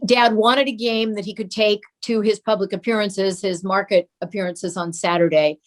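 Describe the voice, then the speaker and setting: A woman's voice: mid-range voice, steady tone, moderate pace. An older woman, an interview guest, casually telling family memories.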